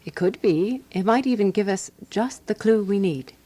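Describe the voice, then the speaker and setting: A woman acting in a radio drama as a skeptical, practical companion to a detective, speaking in a dry, measured, matter-of-fact way. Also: medium pitch, mellow, lightly acted. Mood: curious.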